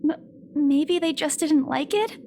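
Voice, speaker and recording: An actress playing a friendly, easily flustered young real estate agent in a visual novel. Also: female voice, high voice, a little slow, warm tone, slight background noise. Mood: uneasy.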